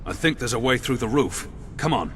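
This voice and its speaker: An actor playing a wry, watchful soldier escorting a ruler in a video game, given to dry asides. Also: male voice, mid-range voice, expressive, a little fast, lightly acted. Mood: grim, determined. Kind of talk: video game character dialogue.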